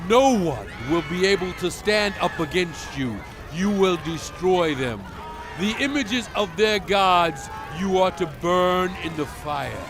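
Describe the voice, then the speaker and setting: An actor playing a solemn, commanding prophet in a dramatized audio Bible, stern and fervent, speaking with deliberate, emphatic oratory. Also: male voice, high voice, expressive, crowd noise, heavily acted. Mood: stern, forceful. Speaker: dramatized scripture narrator. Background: music.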